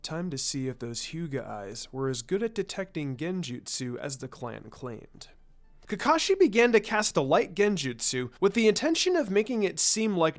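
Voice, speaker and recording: A man narrates fiction aloud in an expressive storytelling style. His voice sits a little high for a man and moves with animated intonation. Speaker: story reader.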